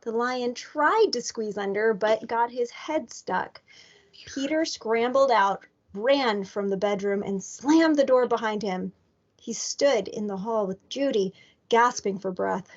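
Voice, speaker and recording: Female school read-aloud narrator, very slow and expressive, slightly high voice, muffled lo-fi sound. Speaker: elementary school staff member reading aloud. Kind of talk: scripted storybook read-aloud for children. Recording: dull lo-fi recording.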